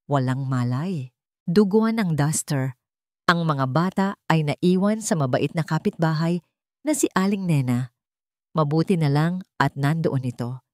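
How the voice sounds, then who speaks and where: Woman narrating a dramatic love story, lowish voice, really dry recording. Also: darkish tone, dry recording.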